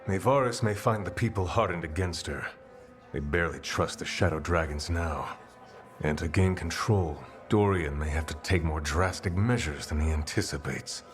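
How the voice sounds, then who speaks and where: A man playing a grave, thoughtful counsellor among rebels in a video game, speaking in a measured, formal way. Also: low-pitched, faint music behind, lightly acted. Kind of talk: video game character dialogue.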